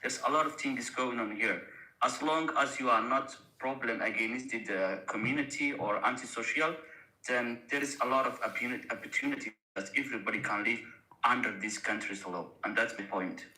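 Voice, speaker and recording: A Somali man who grew up in Austria, earnestly giving his opinion in halting during a debate. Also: medium pitch, pushed and bright. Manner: halting non-native phrasing, earnest. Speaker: debate panellist. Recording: echoey room sound.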